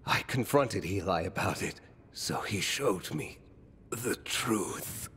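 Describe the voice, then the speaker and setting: A man playing a weary, remorseful fallen mastermind in a video game, speaking gravely and haltingly with breathy trailing phrases. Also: on the low side, expressive, fairly bright tone, exaggerated voice. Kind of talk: dramatic video game monologue.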